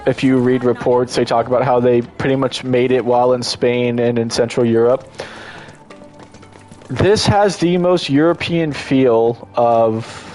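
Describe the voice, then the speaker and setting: A man's voice: mid-range voice, a little mellow. A congested man giving casual, enthusiastic gameplay commentary as a streamer, rambling and restarting his sentences often.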